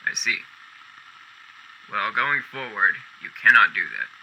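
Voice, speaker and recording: A stern male boss in an old-time radio play. His voice is piercingly forceful and on the low side, curt, formal and disapproving, with faint noise behind it. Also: big pitch swings, slow pace, vocal fry, exaggerated voice, poor audio. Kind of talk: golden-age radio drama acting.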